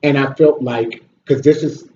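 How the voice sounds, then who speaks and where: Male vlog reviewer, mellow but blunt and sweary, casual delivery, roomy lo-fi sound with faint noise. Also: medium pitch, steady tone, a little slow. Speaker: video host and movie reviewer. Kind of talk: casual opinionated movie review chat. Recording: roomy echo, dull audio, slight noise.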